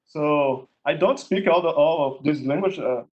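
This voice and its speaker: Man, Portuguese accent, native Portuguese speaker, slightly high voice, halting repeated words, video call demo. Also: darkish tone, lo-fi sound. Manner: halting, repeats words, ends points with "okay?". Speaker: contest winner presenting his project. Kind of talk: screen-share demo in an online meetup.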